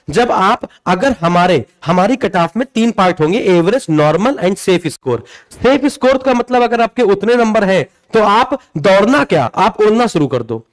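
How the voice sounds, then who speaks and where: Male coaching teacher, high voice, informal explanation, emphatic, repetitive, frequent check-in tags. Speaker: exam coaching teacher presenting a video. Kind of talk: informal explanatory lesson to students.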